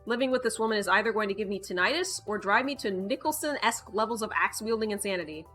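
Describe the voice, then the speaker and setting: Woman doing a lets play, reading visual novel out loud, bright voice, playful n wry, bit of music under it. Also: mid-range voice, quick pace. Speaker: gaming video host. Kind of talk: reading a visual novel aloud with casual asides. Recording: background music behind the voice.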